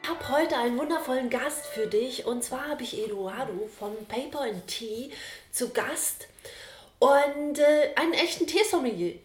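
A woman's voice: sits high, expressive, moderate pace, soft background music. A woman hosting a podcast, friendly and informal, with many fillers and short agreeing sounds. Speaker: podcast host, hypnosis coach and tea master. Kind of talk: podcast host intro and interview.

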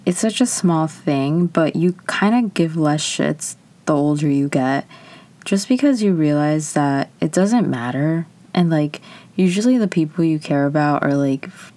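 Casting: woman, early twenties, low voice, candid and chatty vlog delivery. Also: darkish tone. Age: 20s. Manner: chatty, candid, frequent "like" fillers. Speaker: vlogger. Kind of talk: casual personal reflection.

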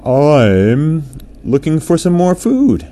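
An eager, food-mad orca from a bedtime story, voiced by a man. The words come slowly and with heavy emphasis, lifted higher and louder like a cheerful challenge. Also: big pitch swings, slight background noise. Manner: slow, emphatic, stretched words.

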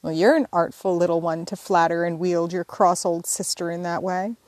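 A woman's voice: lowish voice, fairly even intonation, normal speed, a little mellow, lightly acted. A woman narrator plays a blunt, stubborn older sister who grumbles wryly in her own plain voice. The sound is close and dry, with no echo. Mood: resigned. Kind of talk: audiobook character reading.

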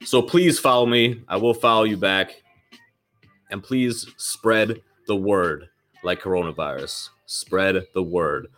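A man's voice: on the low side, slow pace, fairly bright tone, soft background music. A man hosting his own podcast in loose, rambling casual talk, swearing freely and sounding slightly nasal from a lingering cold. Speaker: podcast host.

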